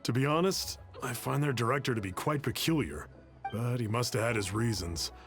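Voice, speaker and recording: A man voicing a guarded, reserved young man in a game, speaking in a measured way. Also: mid-range voice, faint music behind, close to an everyday voice. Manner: wary, measured. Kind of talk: game voice acting.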